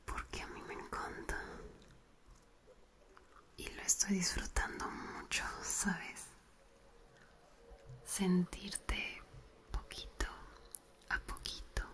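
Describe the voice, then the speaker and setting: A woman's voice: medium pitch, flat delivery, close to an everyday voice. A woman whispering as a flirty cousin. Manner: whispering close and slowly. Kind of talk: ASMR whispered roleplay. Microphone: close binaural mic.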